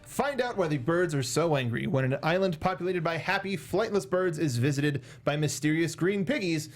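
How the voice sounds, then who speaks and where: Higher-pitched young man hosting. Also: expressive, normal speed. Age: young adult. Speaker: show host. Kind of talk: movie discussion chat. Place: small indoor podcast studio. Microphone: close studio microphone with foam cover, headset monitoring.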